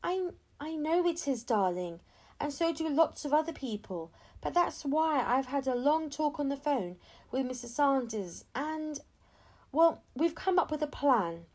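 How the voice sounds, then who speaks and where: A woman's voice: high-pitched, sing-song, a little slow, a little mellow, voice pushed up. A woman voicing a loving, decisive mother talking to her young child in a storybook. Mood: reassuring.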